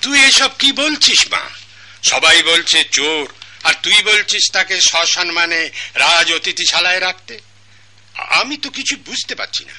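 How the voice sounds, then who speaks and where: A man with a Bangladeshi accent playing a dignified king and puzzled father in a radio drama, deliberate and regal. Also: sits high, lively intonation, bright, forceful tone, full character voice.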